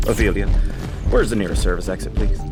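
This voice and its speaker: A man's voice: lowish voice, animated, normal speed, natural voice. A man playing a roguish, flippant space thief with glib, mock-courteous theatrical charm in an audio drama. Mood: flippant, breezy. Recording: loud music bed under dialogue.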